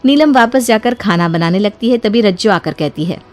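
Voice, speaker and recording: A woman's voice: medium pitch, animated, normal speed, faint music behind. A woman narrator telling a scripted family story in a storytelling style.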